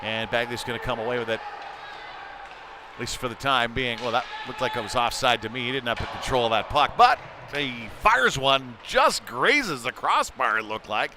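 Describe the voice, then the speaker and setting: A man with a mid-range voice commentates a live ice hockey game. He calls the play in short, clipped fragments. Clear noise from the rink runs behind his voice throughout. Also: medium pitch, expressive, moderate pace. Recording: noisy rink ambience behind voice.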